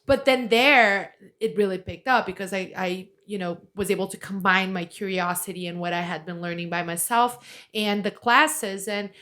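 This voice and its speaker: A woman's voice: mid-range voice, fairly even intonation, moderate pace, fairly bright tone. Brazilian woman, light accent, laughs easily. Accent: light Brazilian Portuguese. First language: Portuguese. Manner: self-deprecating, laughs often. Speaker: guest journalist. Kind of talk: casual interview chat.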